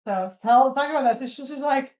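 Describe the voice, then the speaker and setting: A woman's voice: high-pitched, a little fast, a little mellow. A woman hosting a podcast interview in a casual, chatty conversational style. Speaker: podcast host. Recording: echoey room.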